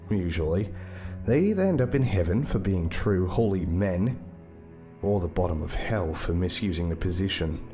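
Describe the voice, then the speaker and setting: A wry defense lawyer from a visual novel, cocky and defiant. His voice sits low and dry, with faint music behind it. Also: lively intonation, normal speed, mellow.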